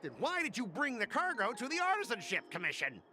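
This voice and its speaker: An actor playing an irate, stubborn cargo worker in a video game, indignant, blustering and emphatic. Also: male voice, very high voice, wildly swooping pitch, brisk, exaggerated voice. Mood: aggrieved. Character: irate, stubborn male cargo-company worker, loud complainer.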